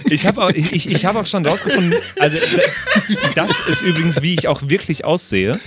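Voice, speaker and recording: A man's voice: high voice, sing-song, fairly quick, over loud music. A man chatting casually in speech, jokey and thinking aloud as he plays a tabletop role-playing game with others. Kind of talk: casual chat.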